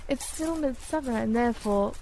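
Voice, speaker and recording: An actress playing a humble, gentle young village woman in an audio drama. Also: a little high, normal speed, a little hiss or hum, close to an everyday voice, rough recording. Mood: reassuring.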